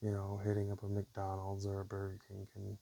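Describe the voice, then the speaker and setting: Gravelly low-voiced man doing soft ASMR. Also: completely flat delivery. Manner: hesitant, unhurried, casual. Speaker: ASMR creator. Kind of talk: ASMR, soft-spoken and whispered personal talk. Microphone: camera or basic mic, spoken close. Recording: faint hiss on amateur mic.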